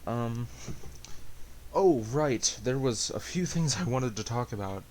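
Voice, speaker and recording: A man chatting casually to viewers, hesitant and halting, with a self-deprecating, rambling way of talking. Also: mid-range voice, lively intonation, a little mellow. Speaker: amateur story reader and channel host. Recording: hissy amateur home recording.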